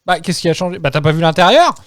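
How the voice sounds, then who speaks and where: A man hosting a casual group podcast, repeating himself and telling things in an animated, hesitant way. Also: fairly high pitch, fast, a crowd in the background. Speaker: podcast host.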